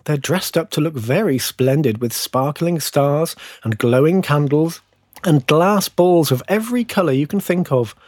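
Male narrator as small gossipy sparrow, storybook, high pitch, chirpy, eager, knowing. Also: measured pace, no echo, clean studio sound. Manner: chirpy, excitable, descriptive.

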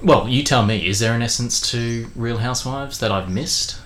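A man's voice: mid-range voice, moderate pace, fairly bright tone. A middle-aged man co-hosting a podcast in casual banter with a friend, blunt and given to swearing. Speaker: podcast co-host. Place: home study.

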